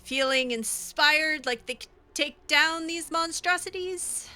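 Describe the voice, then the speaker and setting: A woman's voice: high voice, slow, bright, forceful tone, soft background music. A woman playing through a role-play video game in character, musing aloud to herself in a hesitant way. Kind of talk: in-character game playthrough commentary.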